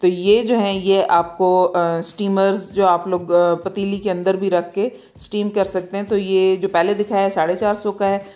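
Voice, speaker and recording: A woman's voice: medium pitch, flat delivery, normal speed, few pauses, warm tone. A woman with a Pakistani accent narrating a shopping guide in a casual, conversational way, often checking in with the listener. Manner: conversational, repeatedly checks in with "okay". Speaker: market vlogger.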